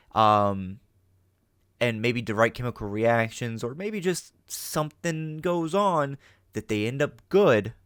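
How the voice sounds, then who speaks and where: A man's voice: mid-range voice, a bit bright, no echo. Man giving rambling, emphatic casual commentary, close dry sound. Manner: rambling, emphatic, peppers talk with "right?" and swearing. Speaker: reaction video host. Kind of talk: casual spoken commentary on an audio drama.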